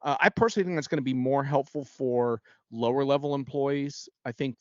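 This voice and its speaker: A man gives conversational expert commentary in a medium-pitched voice. He explains things informally, like a guest analyst, and often checks that listeners follow. The sound is dry and somewhat muffled, with a narrow band. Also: brisk, dry recording.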